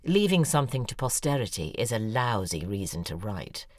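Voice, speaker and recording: A woman's voice: extremely low pitch, sing-song, normal speed, darkish tone, no room sound, heavily acted. A woman, playing a neurotic, desperate novelist who speaks confessionally and often drops into a whisper. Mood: pleading. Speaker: writer confiding in her ghostwriter. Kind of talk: audio drama acting. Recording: dry studio voice with faint music.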